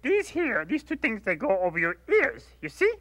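A man's voice: very high-pitched, huge pitch swings, normal speed, fairly bright tone, full character voice. An actor playing a furry blue monster salesman who pushes and over-explains his sunglasses. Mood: pushy. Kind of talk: puppet comedy sketch.

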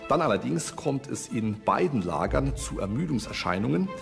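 A male expert gives an explanatory interview in a medium-pitched voice. Faint music runs behind him. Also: sing-song, moderate pace, vocal fry.

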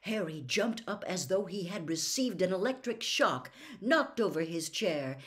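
A woman in her sixties reading a novel aloud as a storyteller, with dramatic, deliberate phrasing. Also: mid-range voice. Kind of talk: expressive audiobook-style reading of a novel. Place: small furnished room at home.

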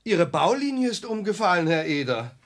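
Polite stiff doctor guy, bit high voice, formal n hesitant, kids radio play. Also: big pitch swings, normal speed, very noisy. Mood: polite, bemused. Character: polite, slightly stiff gentleman doctor visiting. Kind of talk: German children's audio drama acting.

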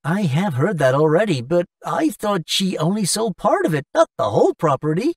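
A man's voice: high-pitched, sing-song, normal speed, no room sound, clean studio sound, far from the mic. A man playing a spoilt young gambler son in a dramatic, self-pitying cartoon style. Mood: confused. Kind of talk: English-language cartoon acting.

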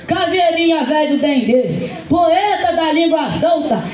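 Brazilian male folk clown, boisterous and extremely high-voiced, calling rhymes in a heavily echoing hall. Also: lively intonation, unhurried, heavy background noise. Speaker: folk clown poet performer. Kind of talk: shouted rhyming folk verse. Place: auditorium stage, indoors. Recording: very echoey hall, music playing. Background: folk music.

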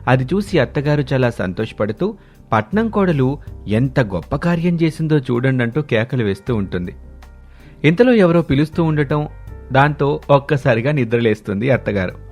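A man's voice: medium pitch, animated, normal speed, darkish tone. A man narrating a scripted story as its narrator. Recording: music bed under the voice.

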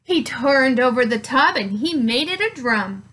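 A woman in her thirties reading a picture book aloud to children, with expressive, deliberate, emphatic phrasing. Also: high-pitched, slight background noise. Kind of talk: children's read-aloud storytelling.

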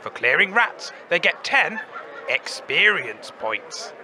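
A man's voice: a little high, big pitch swings, takes it slowly, crowd noise, background noise. A man voicing a brazen female wasteland trainer, selling services in a matter-of-fact way. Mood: businesslike.

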